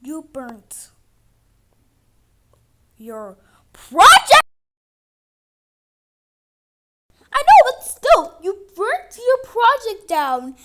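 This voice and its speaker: An exasperated online class teacher, acted by a woman, with a very high, lively voice that swings between restraint and frustration. The delivery is dramatic and unhurried, in the manner of a homemade animated skit. Some background noise sits behind the voice. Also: exaggerated voice. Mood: exasperated disbelief. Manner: exasperated, sighing, dramatic swings from calm to outburst. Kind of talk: amateur animated-skit voice acting, one side of dialogue. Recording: clicky home recording with background noise.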